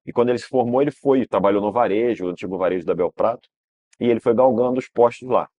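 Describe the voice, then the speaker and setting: A man's voice: fairly low pitch, quick pace, warm tone, no room sound, dull audio. A Brazilian man, a guest in an interview telling long family stories, rambling and restarting with frequent tag questions. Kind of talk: relaxed storytelling interview.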